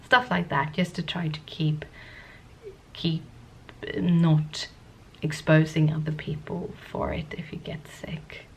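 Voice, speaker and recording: A woman vlogger with a sniffly cold, chatting to the camera in a soft-spoken, rambling, hesitant way. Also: sits low, flat delivery, slow pace, creaky, in a whisper. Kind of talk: casual talking-to-camera vlog.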